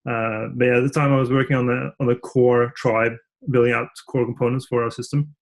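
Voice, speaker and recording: A man from Norway talks as a guest on a tech podcast. His pitch sits at an ordinary male level, and his answers come in a relaxed, thoughtful flow broken by frequent ums and restarts. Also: a little fast. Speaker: engineering director, guest. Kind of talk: podcast interview.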